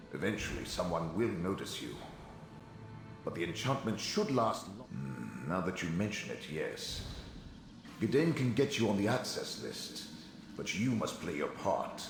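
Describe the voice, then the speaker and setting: A man's voice: lowish voice, creaky, heavily acted. A man playing an eccentric, self-assured fantasy wizard in a video game, articulate, theatrical and musing, with a heavy ghostly reverb on his voice. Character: eccentric Telvanni wizard, male, self-assured and dryly fussy. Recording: very echoey reverberant hall sound, music behind.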